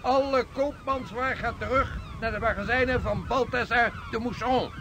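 An actor playing a driven, devout sixteenth-century ship's captain in a radio drama, speaking formally and with command. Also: male voice, very high-pitched, steady tone, moderate pace, noisy background. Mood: brisk, commanding.

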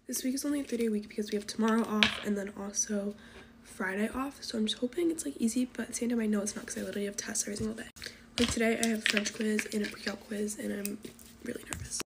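A teenage girl chatting casually while doing her makeup, talking in a soft half-whisper and filling her sentences with "like", recorded on a phone at arm's length in her bedroom. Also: medium pitch, steady tone, fast, creaky, heavy background noise. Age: teens. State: losing her voice from coughing. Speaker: vlogger. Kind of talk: casual get-ready-with-me chat. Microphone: phone camera at arm's length.

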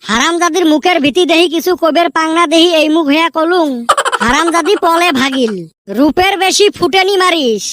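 A woman voicing a boastful, wheedling village boy in a comic cartoon, with a northern Bengal Rangpuri accent and a pitch-shifted voice. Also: normal speed, fairly bright tone, slight background noise. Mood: spiteful grumbling. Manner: pleading, whiny, bickering.

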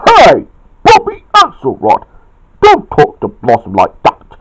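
A man's voice: sits high, huge pitch swings, takes it slowly, a little mellow, voice pushed up, heavily acted, poor audio. A man voicing an indignant, bossy male friend in an amateur storybook telling. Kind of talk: amateur storytelling with acted dialogue.

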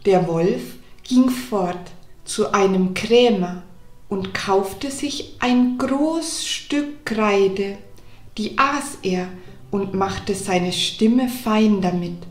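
A woman narrating a scripted children's fairy tale deliberately, pausing between phrases. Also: medium pitch, reverberant. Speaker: storyteller.

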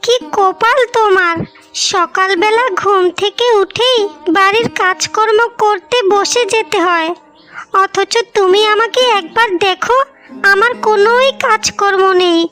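A woman's voice: very high voice, measured pace, pushed and bright, faint music behind, heavily acted. A woman playing a boastful, haughty younger sister-in-law in a moral-story cartoon, with a taunting, showy manner. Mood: mock pity.

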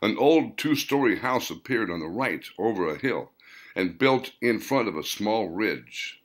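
A man reading a story as a scripted audiobook narration, in deliberate, dramatic phrases broken by pauses. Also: lowish voice, expressive. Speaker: author reading own novel, narrator.

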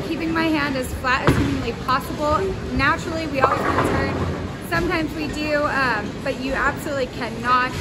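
A young woman with a high voice talks through a casual hands-on lesson. She is conversational and emphatic, recorded on a camera a short way off in a very noisy bowling alley. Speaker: bowling instructor. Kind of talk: casual hands-on tutorial talk. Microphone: camera a step or two away. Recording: loud bowling alley noise, camera audio. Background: loud bowling alley rumble.

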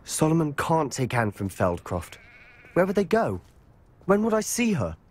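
A man's voice: fairly high pitch, wildly swooping pitch, moderate pace. A man playing an intense, stubborn, protective teenage schoolboy in a video game. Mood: desperate, urgent. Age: young adult. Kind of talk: video game dialogue acting.

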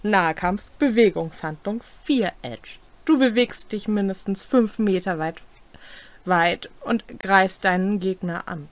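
A young woman reads game rules aloud in a casual setting. She takes it slowly, item by item, in a medium-pitched voice. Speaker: co-host presenting game rules. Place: small home room, indoors.

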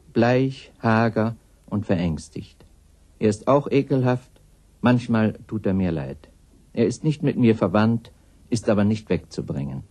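Exasperated sardonic guy doing a radio monologue, lowish mellow voice. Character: sardonic, exasperated narrator disgusted by his parents.

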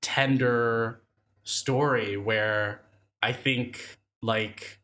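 Young guy hosting a film podcast, slow talker, bright voice, eager and encouraging. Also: mid-range voice, fairly even intonation. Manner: enthusiastic, encouraging, lots of backchannel. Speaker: podcast host, interviewer. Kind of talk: casual interview talk.

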